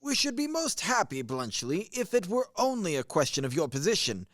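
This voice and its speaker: Blustery old-school major, animated man acting. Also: high-pitched, moderate pace, no room sound, studio quality. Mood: blustering. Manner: blustery, exclamatory. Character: blustering, easily impressed father, an army major of middle age. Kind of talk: stage-comedy radio play acting.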